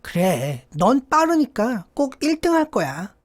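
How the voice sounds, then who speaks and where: A woman's voice: a little high, big pitch swings, unhurried. A woman voicing a friendly, chatty monkey in an animal fable, cheering a friend on. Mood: encouraging. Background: background music.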